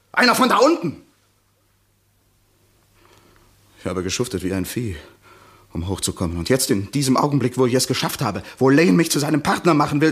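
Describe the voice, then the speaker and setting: A man playing a proud, cornered lawyer accused of murder in a German crime radio play, with a husky voice and dramatic, defensive delivery. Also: on the high side, a bit bright, full character voice. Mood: desperate, bitter. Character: proud, cornered male lawyer accused of killing his wife.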